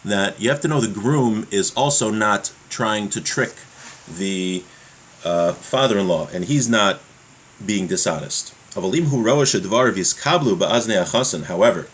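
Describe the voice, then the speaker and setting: A man giving a religious law lesson, reading from a text and explaining it in a halting way, repeating his points. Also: lowish voice, expressive, a bit bright, breathy edge. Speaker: religious teacher.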